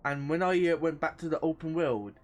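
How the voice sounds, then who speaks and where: A woman's voice: low voice, brisk. A woman giving loose, rambling playthrough commentary as a game streamer, casual and sweary, sometimes reading game text aloud. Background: game music.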